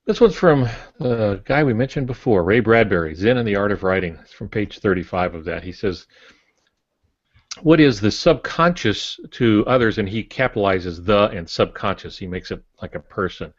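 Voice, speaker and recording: Older male podcast co-host: low register, measured explanatory delivery, close dry sound. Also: lively intonation, no room sound. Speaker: podcast co-host, writer and former preacher. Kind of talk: casual instructive discussion. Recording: muffled lo-fi podcast audio.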